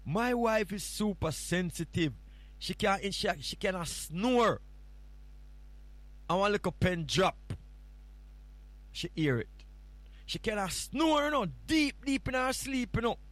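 A man's voice: high voice, expressive, slow, pauses often, a bit bright, dry recording. Playful Jamaican man hosting a morning show. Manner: playful, rambling, teasing. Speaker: stand-in radio show host. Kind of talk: casual morning show chat.

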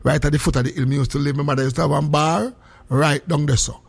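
A man's voice: on the high side, fairly even intonation, fast talker, gravelly, breathy edge. A Jamaican man hosting a live call-in radio show, chatting in a Jamaican accent with sing-song, exuberant greetings. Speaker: gospel radio show host. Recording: faint music behind the voice. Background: music.

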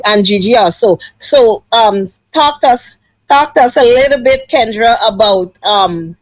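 A woman's voice: fairly high pitch, unhurried, dry recording. Affirming woman moderating a panel. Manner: encouraging, affirming. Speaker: host, moderator. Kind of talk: casual panel discussion.

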